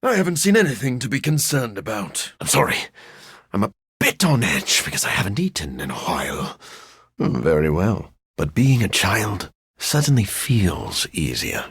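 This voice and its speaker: An actor playing a sophisticated, bookish vampire scientist in a video game, speaking in an articulate, formal way with dry wit and a raspy edge. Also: male voice, on the low side, wildly swooping pitch, moderate pace, fairly bright tone, no echo, exaggerated voice. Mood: uneasy. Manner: articulate, formal, dryly witty. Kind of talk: video game character voice lines.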